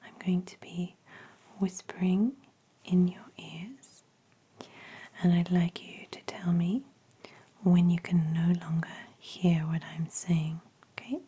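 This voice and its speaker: Hushed, slow-talking woman doing ASMR. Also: fairly low pitch, fairly even intonation, husky. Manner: gentle, hushed, whispery delivery. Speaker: ASMR roleplayer as eye and ear examiner. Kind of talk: ASMR medical exam roleplay, soft spoken.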